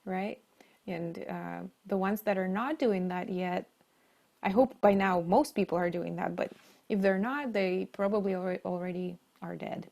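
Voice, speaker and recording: A woman's voice: fairly low pitch, fairly even intonation, a little slow, gravelly, a little mellow. A woman from Siberia with a Russian accent, answering as an interview guest in a conversational style. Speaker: interview guest, marketing leader and coach.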